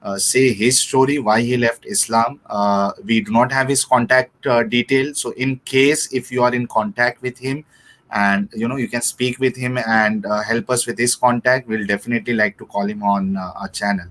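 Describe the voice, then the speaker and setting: Polite Indian man hosting, medium pitch. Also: fairly even intonation, a bit bright. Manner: polite, halting, formal address. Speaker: livestream host. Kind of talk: unscripted livestream hosting.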